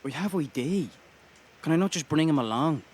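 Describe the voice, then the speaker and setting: A man's voice: a little high, animated, moderate pace, husky. Desperate homeless Irish father, pleading. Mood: pleading, deflated. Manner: pleading, sweary, exasperated. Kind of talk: radio play acting.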